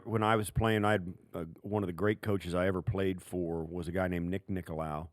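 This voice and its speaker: A man's voice: low voice, no echo, studio quality. A man with an American accent co-hosting a football podcast as a former player, casual and emphatic with punchy, repeated phrases.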